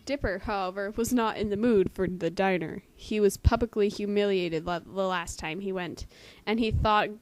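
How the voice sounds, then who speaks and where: A woman's voice: mid-range voice, moderate pace, hardly any pauses, dry recording. A woman casually reading a story aloud at home as an amateur, with joking asides. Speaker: amateur story reader and commentator. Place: friend's house. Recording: faintly noisy amateur home recording.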